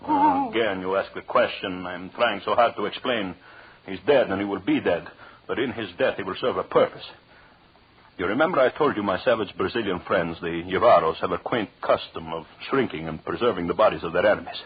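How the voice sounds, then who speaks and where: An actor playing a jealous, murderous husband in an old radio drama, with a theatrical, mocking menace and formal phrasing. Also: male voice, low-pitched, big pitch swings, fairly quick, breathy edge, heavily acted. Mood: gloating, sinister. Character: vengeful, jealous husband, cruelly gloating murderous anthropologist. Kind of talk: 1940s radio drama acting. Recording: dull, lo-fi old radio recording.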